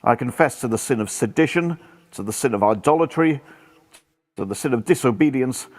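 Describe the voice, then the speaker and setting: A man's voice: medium pitch, expressive, fairly quick, a little mellow, close to an everyday voice. A man playing a devout, earnest medieval friar in a radio play, speaking formally and solemnly. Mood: solemn reading. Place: actor's home, live remote recording.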